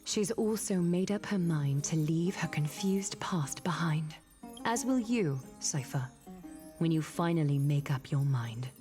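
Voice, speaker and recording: An actress playing a noble, stern demigod woman in a video game, speaking in a formal, measured, commanding way. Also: low-pitched, expressive, dark tone, soft background music. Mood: grave. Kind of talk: video game character acting.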